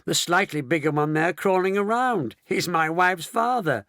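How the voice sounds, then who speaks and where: A man's voice: sits high, animated, normal speed, pushed and bright, dry recording, studio quality, voice pushed up. A man voicing a cheerful, unruffled middle-aged husband, amused, in a storybook reading. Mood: happy.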